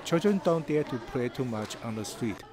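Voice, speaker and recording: A man's voice: medium pitch, lively intonation, normal speed. A man reading dubbed translations of interview answers in a plain, earnest way. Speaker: voice-over translator.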